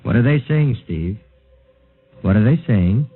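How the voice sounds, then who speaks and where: A probing scientist in an old radio play, clinical and in command. His lowish voice is deliberate and authoritative, heard through a thin, tinny broadcast band. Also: lively intonation, soft background music. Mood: tense, intent. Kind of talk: mid-century radio drama acting.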